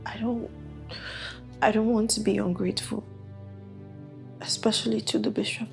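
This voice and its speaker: An actress playing a distraught, self-doubting young bride in a screen drama. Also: female voice, on the high side, flat delivery, normal speed, vocal fry, soft background music. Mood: anxious.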